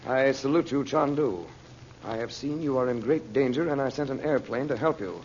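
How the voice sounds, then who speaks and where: An actor playing a calm, authoritative middle-aged uncle who is also a magician, in old radio serial style. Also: male voice, medium pitch, moderate pace, natural voice. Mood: measured, reading. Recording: noisy muffled old radio recording.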